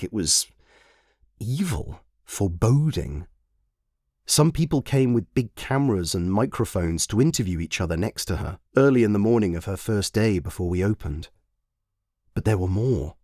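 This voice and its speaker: Storybook monologue: man playing a veteran rollercoaster, proud and wistful. Lowish, very varied intonation, measured theatrical delivery. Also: darkish tone, no echo, clean studio sound. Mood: uneasy. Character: proud, nostalgic old rollercoaster, wistful veteran of a theme park. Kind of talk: dramatic first-person storybook monologue.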